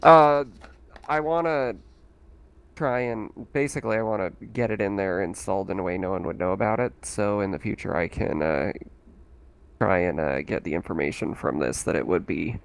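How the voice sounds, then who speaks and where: A man chatting casually as a player in a tabletop game, hesitant and pausing to think. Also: low-pitched, vocal fry, clear, resonant tone.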